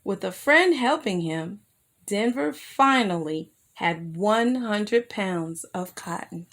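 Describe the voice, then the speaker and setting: A woman reading a children's picture book aloud as a storyteller, expressive and careful, with asides to her young listeners. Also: mid-range voice, takes it slowly. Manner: addresses young listeners with simple questions.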